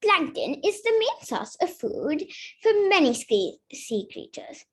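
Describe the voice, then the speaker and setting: A woman's voice: high voice, very animated, unhurried. A woman reading a children's picture book aloud, carefully, with the odd stumble over a word. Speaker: story reader.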